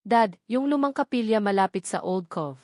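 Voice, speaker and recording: A woman's voice: medium pitch, expressive, normal speed, darkish tone, dry recording, clean studio sound, close to an everyday voice. A Filipino woman voicing an eager, alert young man helping his father in an audio drama. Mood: alert, excited.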